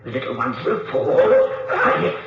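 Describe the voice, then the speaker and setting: An echoing, gloating mad scientist. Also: male voice, mid-range voice, wildly swooping pitch. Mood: eager menace. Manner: deliberate, relishing, menacing. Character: mad, gloating male scientist villain obsessed with beetles. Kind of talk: radio drama acting. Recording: noisy, muffled radio recording, very echoey.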